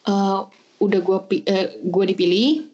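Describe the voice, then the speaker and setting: A young woman in her twenties, a podcast guest, talks casually over a remote call. She explains things step by step, filling gaps with small hesitations, at a middle pitch. The sound is muffled and lo-fi. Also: unhurried, a little mellow. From Bandung, Indonesia. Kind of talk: casual chat.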